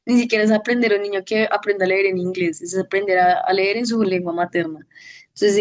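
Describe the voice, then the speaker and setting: A woman at a medium pitch, giving her testimonial in an interview. She thinks aloud as she goes, hesitating and starting sentences again. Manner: thoughtful, hesitant, many restarts. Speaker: au pair program participant, teacher.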